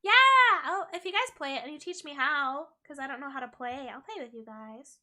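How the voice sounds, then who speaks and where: A young woman streaming a game and chatting with viewers, playful and teasing, her voice a little hoarse. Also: high-pitched, brisk, sharp tone. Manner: playful, giggly, teasing chat. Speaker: VTuber streamer. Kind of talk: casual game stream chat, reading chat.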